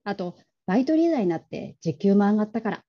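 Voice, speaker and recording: A woman's voice: medium pitch, big pitch swings, fast. A woman playing a young adult daughter who casually teases and wheedles her father in a radio drama. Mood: teasing, coaxing. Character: coaxing, teasing grown daughter pleading with her father. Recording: dull lo-fi audio.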